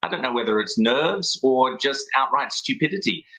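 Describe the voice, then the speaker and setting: A man's voice: mid-range voice, lively intonation, normal speed, a bit bright, poor audio. A man giving blunt, scornful news commentary.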